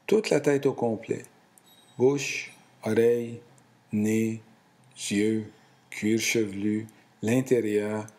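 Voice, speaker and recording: Man, meditation instructor, very unhurried delivery, calm and soothing, mid-pitched voice, short deliberate phrases. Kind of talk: guided relaxation narration.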